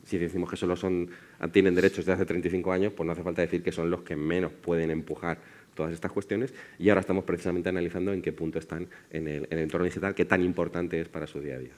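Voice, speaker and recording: A man's voice: sits low, little pitch movement, quick pace, darkish tone. A man speaking spontaneously as a panelist.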